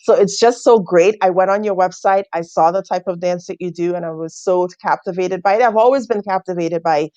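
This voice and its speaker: A woman's voice: fairly low pitch, a little fast, hardly any pauses, darkish tone, no echo, lo-fi sound. A woman from Trinidad hosting a live online interview, talking in a rambling way with many ums and restarts. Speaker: host. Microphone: video call.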